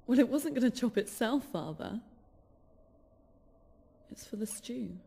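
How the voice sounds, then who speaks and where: A woman acting an eerily polite young daughter in an amateur radio drama, soft, calm and often whispery. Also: fairly high pitch, measured pace, vocal fry, breathy edge, heavily acted. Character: eerie, softly polite, disturbed young daughter.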